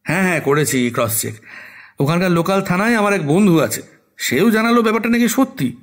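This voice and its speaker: A man voicing a helpful police inspector who reports by phone in a brisk, obliging way. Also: sits high, expressive.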